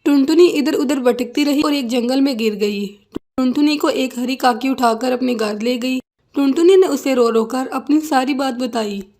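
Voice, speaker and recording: A woman's voice: high-pitched, moderate pace, darkish tone. A woman narrating a scripted children's cartoon story.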